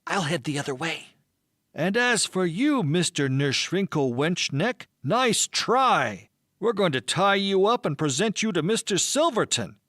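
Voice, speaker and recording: A man's voice: sits high, big pitch swings, measured pace, a bit bright, no room sound, studio quality, heavily acted. A man voicing a prim, pedantic character who gives wordy, commanding orders in an audiobook. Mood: indignant, commanding.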